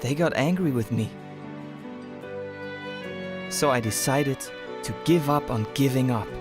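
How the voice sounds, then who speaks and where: Easygoing young male trainer, anime dub woman performing: boyish, very low for a female voice, music beneath. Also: unhurried. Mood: reflective. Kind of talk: anime-style manga dub acting. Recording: music under the voice. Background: background music.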